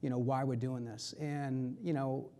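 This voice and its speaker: Man, mellow relaxed tone, mid pitch, informal presenter, conversational, emphatic repetition. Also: a little slow. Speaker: advertising executive, conference speaker.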